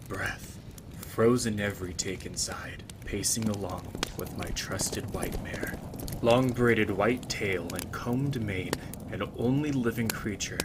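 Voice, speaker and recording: A man voicing a grim lone bard, narrating a dark fantasy tale in the first person with dramatic weight. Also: low-pitched, background noise. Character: grim lone male fantasy bard recounting his bloody past.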